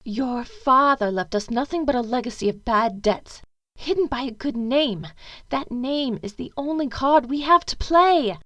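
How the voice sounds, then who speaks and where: A woman's voice: sits high, animated, a little slow, fairly bright tone, no room sound, dull audio. A woman playing a stern, anxious upper-class mother in an audio drama, imperious and pleading. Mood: stern, urgent. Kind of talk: fan audio drama acting.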